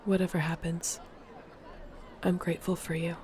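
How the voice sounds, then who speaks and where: A woman's voice: lowish voice, level tone, moderate pace, a little mellow, a faint crowd, a little hiss or hum, lightly acted. A woman playing an earnest, loyal sorceress accused of a crime, defiant and desperate, in a fantasy audio roleplay. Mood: hushed, tense.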